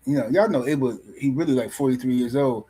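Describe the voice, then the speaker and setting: A man's voice: medium pitch, fast, warm tone, husky, rough recording. A man hosting a boxing livestream in casual commentary, loose and teasing, rambling and repeating words as he reads chat messages. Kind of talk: casual live-stream commentary.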